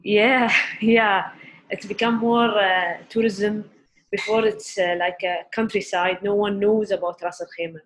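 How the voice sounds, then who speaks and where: Woman in her thirties, from the UAE, hesitant with many fillers, restarts her sentences, mid-pitched voice. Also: steady tone, measured pace, slight background noise, low-quality recording. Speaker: guest, barista and coffee shop owner. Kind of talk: casual interview answers.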